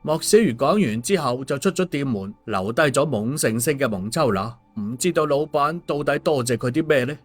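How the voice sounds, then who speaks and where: Man, scripted story narration, medium pitch, background music. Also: animated, moderate pace, hardly any pauses. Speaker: audiobook narrator. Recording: music under the voice.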